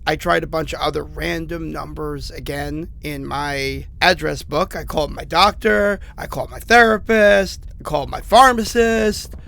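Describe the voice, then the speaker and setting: A man playing a battered, sardonic man trapped in a haunted house, rambling haltingly as if leaving recorded messages in a horror audio drama. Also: a little high, creaky, sharp tone, full character voice. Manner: halting, rambling, self-deprecating.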